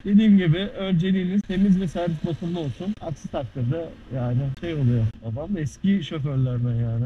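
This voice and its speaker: A man chatting casually as he rides a scooter through city traffic, explaining the bike as he goes. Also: on the high side. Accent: Istanbul Turkish. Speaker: motovlogger. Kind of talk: casual riding commentary. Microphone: helmet-mounted action camera. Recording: muffled action-camera audio with faint traffic noise.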